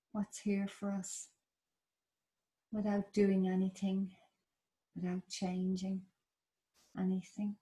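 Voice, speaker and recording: A woman with an Irish accent leading a guided body scan meditation in a slow, soft, soothing manner. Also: mid-range voice. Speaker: meditation teacher.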